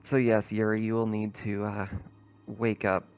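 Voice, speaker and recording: A man's voice: lowish voice, level tone, unhurried, darkish tone, clear, resonant tone, soft background music. A man running a tabletop roleplaying game as game master, explaining informally and a little hesitantly.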